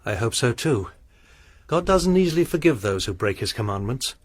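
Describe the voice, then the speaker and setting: A man's voice: medium pitch, big pitch swings, moderate pace, no room sound. An actor playing a troubled, sermonizing male lodger with a formal manner in a radio drama. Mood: brooding. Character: sinister pious male lodger, troubled and brooding.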